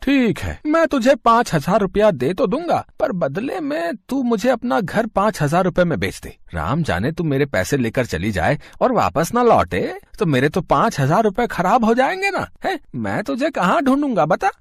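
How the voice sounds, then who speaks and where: A man's voice: high-pitched, wildly swooping pitch, normal speed, creaky, hardly any pauses, no room sound, clean studio sound, exaggerated voice. A man voicing a scheming, suspicious village moneylender in a moral story, wheedling and tagging questions onto his demands. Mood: sly, distrustful. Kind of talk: Hindi moral story voice acting.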